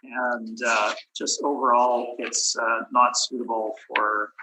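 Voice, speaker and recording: A hoarse man losing his voice, presenting his side at a property tax hearing in a methodical way, often clearing his throat. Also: medium pitch, little pitch movement, slow pace, sharp tone, slight background noise, low-quality recording. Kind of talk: formal presentation with many fillers. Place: municipal committee room.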